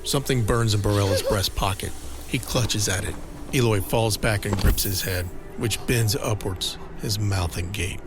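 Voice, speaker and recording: A man narrating a scripted audio drama in a deliberate, dramatic manner. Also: fairly low pitch. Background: dramatic music.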